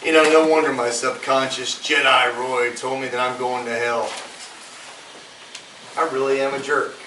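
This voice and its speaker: A man's voice: mid-range voice, little pitch movement, normal speed, natural voice. An actor at a live table read, playing a brash but remorseful young man who talks casually and slangily. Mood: sheepish. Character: brash young male slacker, remorseful self-confessed jerk. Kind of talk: live staged script reading. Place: live staged event in a room. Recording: roomy, distant microphone, faint noise.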